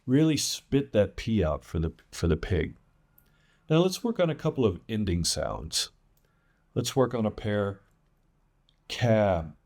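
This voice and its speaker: A man with a fairly deep voice teaches pronunciation. He moves at a very slow, measured pace. Example words come out with deliberate, emphatic articulation, set among plain instructional explanations. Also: very animated, darkish tone. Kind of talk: instructional lesson with demonstrated words.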